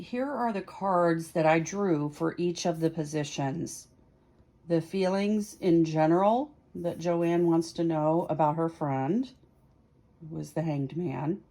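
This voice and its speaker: Woman, slightly low voice, very unhurried pace, chatty tarot vlog host, informal explaining, thinking aloud. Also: mellow. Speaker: tarot reader hosting a practice series. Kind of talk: casual explanatory vlog talk.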